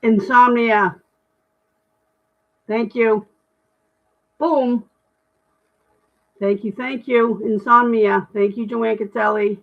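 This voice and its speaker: Middle-aged woman, deep voice, candid self-deprecating livestream chat, tinny phone-like sound. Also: fairly even intonation, slow, vocal fry, long pauses. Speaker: livestream host. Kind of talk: casual livestream chat reading comments.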